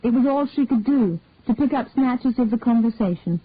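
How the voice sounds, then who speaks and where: A woman's voice: fairly high pitch, animated, normal speed, slightly rough. Woman reading a story, tinny narrowband sound. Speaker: audiobook reader. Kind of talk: scripted story narration.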